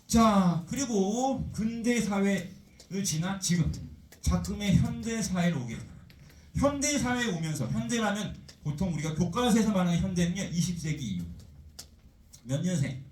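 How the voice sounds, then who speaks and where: A male teacher giving a chatty, animated lecture, full of rhetorical questions. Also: sits high, moderate pace, reverberant, far from the mic, rough recording. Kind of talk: classroom lecture.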